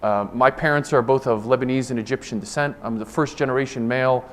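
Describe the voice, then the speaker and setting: A man in his forties from Ohio, giving a formal conference presentation as a government official. He speaks earnestly and deliberately, often restarting and repeating words. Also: medium pitch. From Ohio, United States. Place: conference room.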